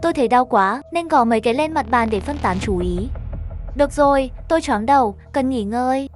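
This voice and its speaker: A woman's voice: high voice, animated, fairly quick, faint music behind. A woman voicing an injured, frightened and arrogant man who curses and reassures himself in a horror story. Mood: defensive. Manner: self-reassuring, cursing, defensive. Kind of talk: horror story character dialogue.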